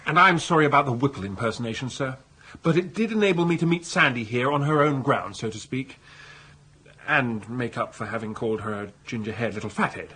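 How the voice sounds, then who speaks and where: An actor playing a polite, bashful young Englishman in a radio comedy, deferential and earnest, with a husky voice. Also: medium pitch, a little fast, bright, forceful tone. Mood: apologetic. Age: young adult. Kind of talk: British radio comedy drama.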